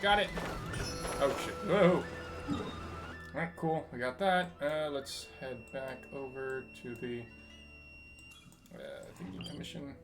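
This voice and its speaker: A woman live streaming a video game, giving casual, playful reactions with excited exclamations. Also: rumbling low, takes it slowly, pauses often. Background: game music.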